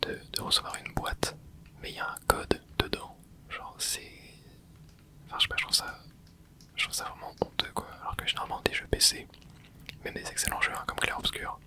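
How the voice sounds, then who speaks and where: Rambling whisperer chatting over a video game. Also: brisk. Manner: rambling, hesitant, many fillers and restarts; soft unvoiced whisper throughout. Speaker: ASMR gaming creator. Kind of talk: whispered ASMR gameplay commentary.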